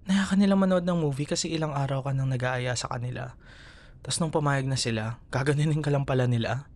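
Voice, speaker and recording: A man with a Filipino accent playing a gentle, protective young boyfriend in an intimate ASMR roleplay, soothing and murmuring tenderly. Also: medium pitch, fairly quick, lightly acted.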